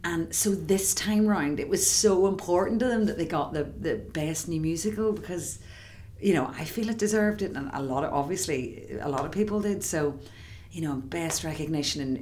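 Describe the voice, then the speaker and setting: An actress with an Irish accent, a woman, chatting excitedly in an interview with many quick restarts. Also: low-pitched, a little hiss or hum. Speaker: musical theatre actress, guest. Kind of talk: casual interview chat.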